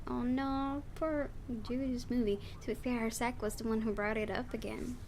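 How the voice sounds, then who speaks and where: A woman reading a visual novel game aloud in an expressive storytelling style, with her own asides. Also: on the high side, normal speed, a little hiss or hum.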